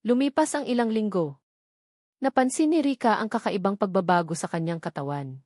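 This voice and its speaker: A woman's voice: mid-range voice, brisk, a little mellow, no room sound, clean studio sound. A woman reading a scripted romance story as a dramatic narrator.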